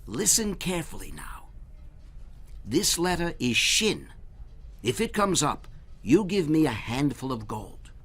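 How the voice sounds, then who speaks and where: A man's voice: a little high, very animated, measured pace, pauses often, fairly bright tone, breathy edge, pitched above the performer's own voice, heavily acted. A man voicing a clever trickster in a children's storybook, breezy, slyly teasing and persuasive. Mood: instructive.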